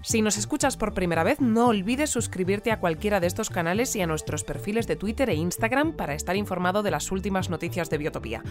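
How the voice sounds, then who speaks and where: A woman's voice: mid-range voice, animated, fast talker, hardly any pauses, close to an everyday voice. Casting: actress as composed woman newscaster, Spain Spanish, mid-range voice, polite scripted bulletin for audio drama, music underneath. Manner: polite, clear presenter delivery. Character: composed female news bulletin presenter. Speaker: news presenter and debate moderator.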